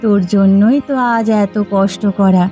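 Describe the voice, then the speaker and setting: Gentle, long-suffering village mother played by a woman. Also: medium pitch, steady tone, moderate pace, faint music behind, lightly acted. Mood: sorrowful. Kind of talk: animated moral story voice acting.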